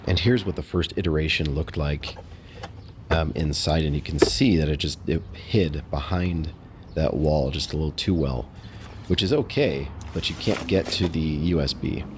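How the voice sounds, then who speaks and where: A man casually narrating a how-to vlog in a halting, unscripted, matter-of-fact way. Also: extremely low pitch, heavy background noise. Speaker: host of a DIY install vlog.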